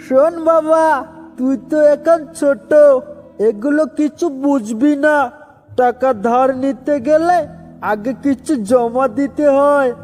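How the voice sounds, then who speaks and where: A man's voice: very high-pitched, slow, darkish tone. A man voicing a shrewd, condescending village landlord in a cartoon, with a patronising manner. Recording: long reverb with music behind.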